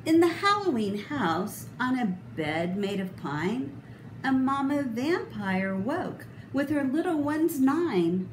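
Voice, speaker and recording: Middle-aged female storybook reader, sing-song and very animated, unhurried. Roomy, noisy indoor recording. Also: high voice. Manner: theatrical, emphatic, sing-song delivery. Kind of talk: expressive children's read-aloud. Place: indoor room. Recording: roomy echo, clear background noise.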